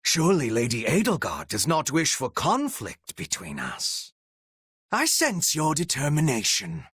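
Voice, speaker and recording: Game role: cold, devoted male strategist, raised pitch with animated swings, archaic formal phrasing, menacing rasp. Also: unhurried, no room sound, studio quality, heavily acted. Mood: cold menace. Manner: formal, archaic, theatrical diction. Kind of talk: video game voice acting.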